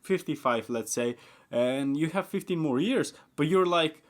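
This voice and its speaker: A young man chatting casually on a podcast, rambling with many fillers and restarts, his voice jumping from excited and high to quiet and low. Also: a little slow. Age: young adult. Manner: rambling, repeats himself, often says like and uh; swings between excited high pitch and quiet low mumbling. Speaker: podcast guest. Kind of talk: casual chat.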